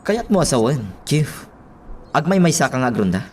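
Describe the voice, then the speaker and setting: A man's voice: medium pitch, big pitch swings, a little fast, a little mellow, a little hiss or hum. A man with an Ilocano Filipino accent playing an eager, questioning junior police officer in a radio drama. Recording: light music bed behind the voice.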